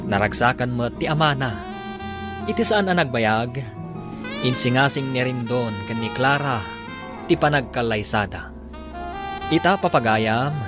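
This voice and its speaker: A man with a Filipino accent narrating and announcing a radio drama in a scripted style. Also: mid-range voice. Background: music.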